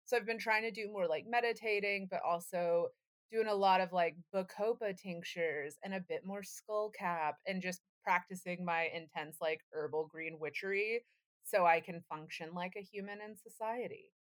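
A woman's voice: on the low side, moderate pace. A woman co-hosting a podcast in casual, sweary chat, with a wry, self-deprecating and playfully dramatic manner. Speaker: podcast co-host.

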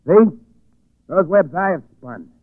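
Unhinged, high-pitched, swooping young madman. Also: lively intonation, slow, full character voice. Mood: menacing, gloating. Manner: unhinged, lurching from hushed to frenzied. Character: deranged spider-obsessed young man, menacing and vengeful. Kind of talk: 1940s radio thriller acting. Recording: muffled, band-limited 1940s radio recording.

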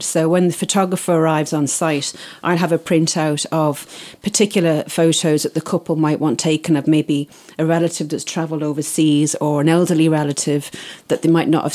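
Irish woman, low pitched, explains things step by step, podcast guest. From Isle of Man. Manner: steady, detailed explaining. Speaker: guest, wedding PA. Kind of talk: casual interview.

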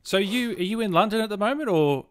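A man's voice: sits high, animated, fast talker. A man hosting a casual interview, asking friendly, upbeat questions. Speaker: host.

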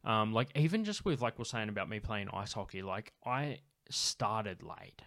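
A young man in his twenties hosts a podcast in casual chat, rambling through fillers and dropping swear words. His voice sits fairly low and sounds dry and close, with no room behind it. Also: animated, normal speed, fairly bright tone, no echo. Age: 20s. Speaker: podcast host.

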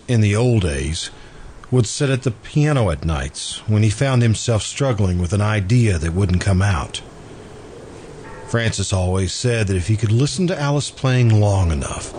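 An actor playing an earnest, hesitant man in love in an old-time radio drama, speaking deliberately and wistfully. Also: on the low side, background noise. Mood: wistful, confiding. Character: earnest man in love with best friend's widow.

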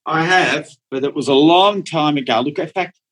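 A man's voice: a little high, moderate pace, a bit bright. A man, a Dutch speaker, chatting casually as a guest painter in an interview, rambling and hesitant. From Australia. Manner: rambling, hesitant, often starts with "look".

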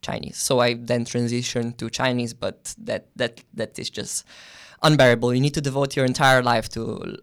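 A young man from Slovenia, a guest on an interview show, answering in a thoughtful way with many pauses and restarts. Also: mid-range voice, level tone, vocal fry, a bit bright, clear, resonant tone. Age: young adult. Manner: thoughtful, with frequent ums and restarts. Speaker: guest, exchange student.

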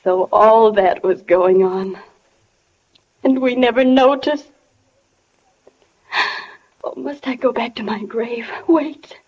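Breathy, high-voiced anguished young wife. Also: female voice, takes it slowly, pauses often, dull audio. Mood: tearful farewell. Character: young wife in anguish, revisiting her childhood after death. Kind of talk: 1940s radio drama acting. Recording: old 1940 radio broadcast, faint music behind.

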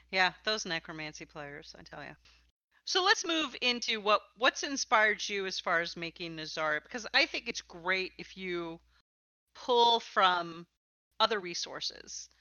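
A woman's voice: mid-range voice, animated, slow pace, sharp tone, no room sound. A woman hosting a casual live podcast interview, chatting and asking questions of a guest.

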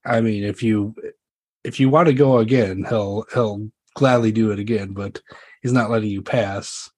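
A man's voice: on the low side, steady tone, normal speed, darkish tone, breathy edge. A man casually improvising narration as game master of a tabletop roleplaying game.

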